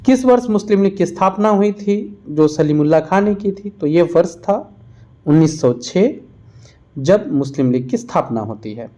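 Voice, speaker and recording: A man's voice: sits high, animated, normal speed, warm tone. A man narrating a quiz-style lesson as a teacher, explaining and repeating answers for emphasis. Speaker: exam-prep history teacher.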